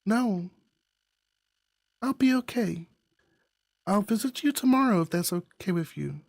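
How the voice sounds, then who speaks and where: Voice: mid-range voice, expressive, unhurried, vocal fry, a little mellow, no room sound, voice pushed up. A performer reading a visual novel voices a shy, anxious young woman in love, hesitant, earnest and apologetic. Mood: gentle.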